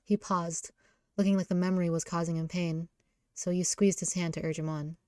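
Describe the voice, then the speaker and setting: Low-pitched woman narrating a story. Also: steady tone, a little fast, warm tone, clean tone, dry recording, clean studio sound. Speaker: reader in a visual novel readalong. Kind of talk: story narration read aloud.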